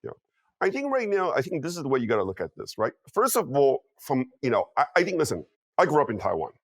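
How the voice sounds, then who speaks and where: A man's voice: mid-range voice, huge pitch swings, fast, creaky, no echo. Male guest strategist in his fifties, punchy and insistent, mid-range voice, dead-dry room. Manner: emphatic, peppers talk with "okay?" and "you know what?". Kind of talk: interview commentary.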